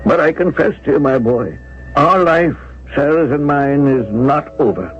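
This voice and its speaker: An actor playing a lovelorn old uncle in a 1970s radio drama, husky, dreamy and halting as he speaks. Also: fairly high pitch, expressive, a little hiss or hum, close to an everyday voice. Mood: wistful, dreamy. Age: older. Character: lovelorn old uncle, wistful, devoted to dead sweetheart. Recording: dull 1970s radio recording.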